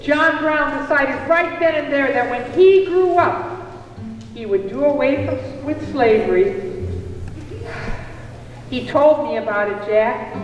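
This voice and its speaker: A woman playing a kindly motherly cafe keeper in a live puppet show, telling tales in a deliberate, expressive way. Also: high voice. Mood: earnest recounting. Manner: deliberate, expressive storytelling to children. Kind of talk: live storytelling puppet theatre. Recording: echoey hall from a distance, music behind.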